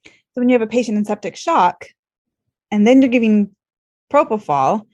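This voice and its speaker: A woman's voice: fairly high pitch, animated, normal speed, a little mellow, lo-fi sound. A woman hosting a casual podcast interview, speaking in a reflective and encouraging way.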